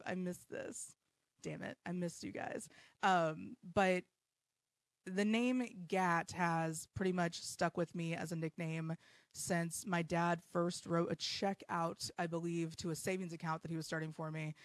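A woman's voice: fairly low pitch, no echo. A woman in her thirties hosting her own podcast live from her home basement, talking in a blunt, self-deprecating way and swearing freely. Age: 30s. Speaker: podcast host. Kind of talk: casual live podcast monologue.

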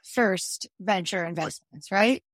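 A woman's voice: medium pitch, takes it slowly, dry recording, studio quality. A woman conducting a casual interview, feeling her way into questions and rephrasing them midway.

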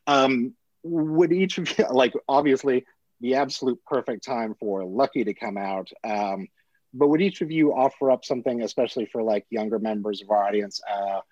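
A man's voice: mid-range voice, moderate pace, a little mellow, no room sound, muffled recording. A man interviewing film guests, casual and laughing, swearing freely. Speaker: interviewer, local editor.

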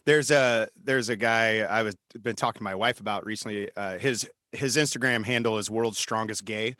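A man's voice: lowish voice, normal speed, bright, forceful tone. A man interviewing a guest, asking reflective, probing questions with hesitant "uh" fillers. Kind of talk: casual interview conversation.

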